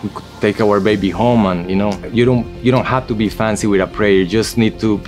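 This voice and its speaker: Low-voiced man telling a personal story. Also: steady tone, a little fast, darkish tone. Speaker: church member giving testimony. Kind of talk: personal testimony, informal storytelling. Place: indoor photo studio, seated before a backdrop. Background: background music.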